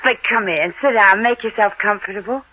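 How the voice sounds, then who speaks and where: An actress playing a chatty, gracious American housewife with an effusive, hospitable manner in an old radio drama. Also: female voice, medium pitch, a little fast, lightly acted. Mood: breezy, welcoming. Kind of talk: 1950s radio drama acting. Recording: muffled old radio recording.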